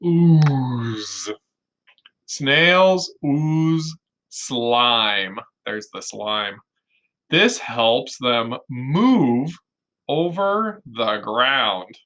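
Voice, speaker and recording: A man's voice: mid-range voice, lively intonation, extremely slow, fairly bright tone. A man teaching young children through a read-aloud, explaining simply and expressively with questions and emphasis on key words. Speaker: kindergarten teacher.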